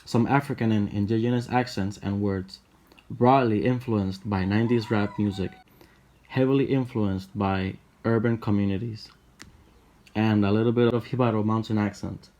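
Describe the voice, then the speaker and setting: A young man from Puerto Rico with a Puerto Rican accent, narrating a scripted explainer in a steady, list-like way. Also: on the low side, moderate pace, rough recording. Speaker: channel host.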